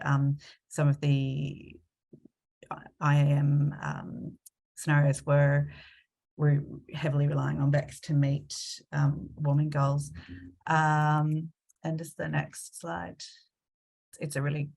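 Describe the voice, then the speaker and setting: A woman's voice: sits low, gravelly, darkish tone. A woman presenting a talk with slides, hesitant with many ums and restarts, a bit sniffly from a cold. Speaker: climate consultant presenting a talk.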